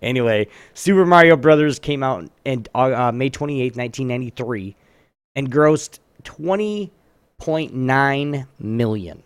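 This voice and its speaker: Low-pitched man hosting casual chat. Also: animated, a little slow, smooth, dry recording. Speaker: host of a gaming talk show. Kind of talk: casual chat, running a trivia game.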